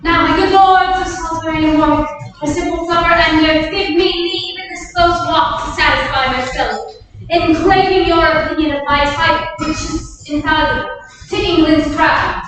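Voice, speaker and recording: Actress as a scheming duke, declamatory verse, high pitch, distant roomy hall sound. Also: female voice, steady tone, a bit bright, slight background noise. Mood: resolute. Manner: measured, declamatory verse delivery. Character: proud scheming male duke claiming a crown. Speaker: actor in a staged reading. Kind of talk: Shakespeare staged reading. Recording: echoey hall, far from the mic.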